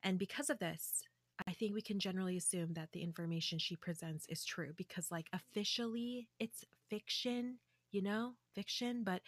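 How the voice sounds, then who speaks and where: A woman's voice: on the low side, dry recording. A woman hosting a solo podcast, talking in a chatty way with casual asides. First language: English. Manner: chatty, with asides and light laughs. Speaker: podcast host. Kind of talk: scripted solo commentary.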